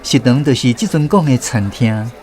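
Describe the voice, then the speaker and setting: A man's voice: medium pitch, animated, moderate pace, a little mellow, natural voice. An actor with a Taiwanese accent playing a man who narrates memories of his boyhood apprenticeship in a scripted radio drama. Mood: fond reminiscing. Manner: reflective storytelling, explains as he goes.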